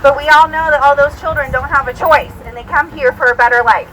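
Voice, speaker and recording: A middle-aged woman from Puerto Rico, an attorney, speaking plainly and earnestly, with pauses and fillers. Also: high voice, fairly even intonation, fairly bright tone, poor audio. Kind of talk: rally speech and interview. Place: outdoor street rally. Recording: outdoor rally with street noise.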